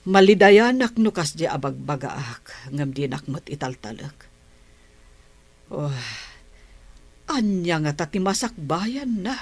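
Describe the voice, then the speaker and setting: Low-voiced, troubled mother. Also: very animated, takes it slowly, long pauses, slightly rough, exaggerated voice. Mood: worried, lamenting. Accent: Ilocano. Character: troubled mother despairing over her wayward child. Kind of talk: Ilocano radio drama acting.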